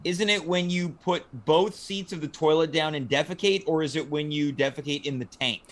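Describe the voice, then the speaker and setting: A man's voice: on the high side, normal speed. A man hosting a casual comedy talk show, bantering with dry, teasing, mock-formal remarks.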